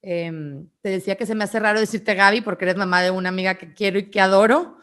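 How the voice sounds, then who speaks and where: A woman's voice: medium pitch, fairly even intonation, fast talker, a bit bright. A young woman with a Mexican accent hosting an interview, eager and enthusiastic as she introduces and questions her guest. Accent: Mexican Spanish. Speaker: host.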